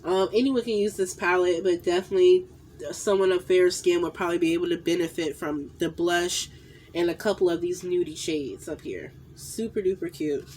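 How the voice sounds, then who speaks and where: A woman in her thirties talks to her phone camera in a small room at home, her voice nasal and stuffy from a cold. She chats loosely and warmly, circling back with "um" and "definitely" as she reviews makeup. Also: fairly low pitch, moderate pace, a little hiss or hum. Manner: chatty, lots of fillers and repeated "definitely". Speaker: beauty vlogger. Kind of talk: casual makeup haul review. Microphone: phone camera at arm's length.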